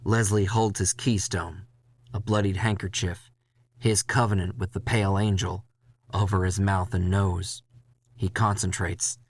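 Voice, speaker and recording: A man reading a dramatic first-person horror story in a measured, intense way, with music behind him. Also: deep voice, little pitch movement, breathy edge.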